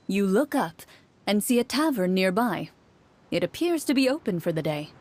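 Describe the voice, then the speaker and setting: A woman playing a composed game master, narrating a fantasy scene in measured, articulate storytelling style. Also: medium pitch, sing-song, darkish tone. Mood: solemn. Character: composed young female tabletop game master. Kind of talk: scripted dramatic storytelling narration.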